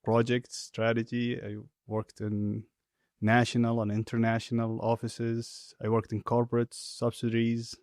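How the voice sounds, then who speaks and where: A middle-aged man answering interview questions in a measured, deliberate way with frequent filler pauses. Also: medium pitch, completely flat delivery, a little mellow, no room sound, studio quality. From Saudi Arabia. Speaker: interview guest, executive.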